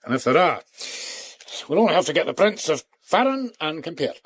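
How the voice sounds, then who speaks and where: An actor in a radio drama playing a dogged, deferential country police inspector with a Scottish accent and homely, plain-spoken manner. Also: male voice, a little high, big pitch swings, fairly quick, a bit bright, breathy edge, heavily acted. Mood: dogged, puzzled.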